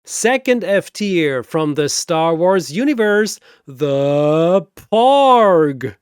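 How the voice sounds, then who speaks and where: A man voicing a flamboyant, grandiose four-armed arena master of ceremonies in a fantasy audio show, announcing with drawn-out showman's emphasis. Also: a little high, very animated, clear, resonant tone, no echo, clean studio sound, exaggerated voice. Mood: hyped announcing.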